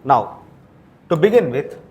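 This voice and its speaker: A man's voice: on the high side, very animated, measured pace, a little mellow. A man with an Indian accent lecturing to exam students as a coaching teacher, posing questions and answering them himself. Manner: frequent tag questions like "right?" and "okay?".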